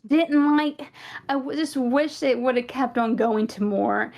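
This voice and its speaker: A woman's voice: high-pitched, fairly even intonation, unhurried, creaky, darkish tone. A young woman with a Southern US accent co-hosting a casual fan podcast, chatty and rambling with many fillers. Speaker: podcast co-host.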